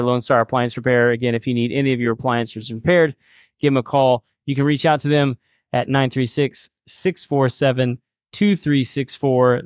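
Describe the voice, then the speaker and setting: A man's voice: medium pitch, clean tone, no room sound. A man hosting a radio show with a casual, chatty manner, full of fillers and easy laughs, speaking close to a studio mic. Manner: laid-back, chatty, lots of fillers and laughs. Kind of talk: casual on-air chat. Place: studio with noise around. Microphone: close mic with headphones.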